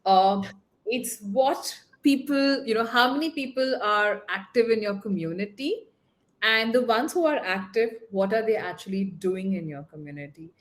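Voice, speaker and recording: A woman, moderating a panel question session on a video call, brisk and upbeat. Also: medium pitch. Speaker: webinar moderator.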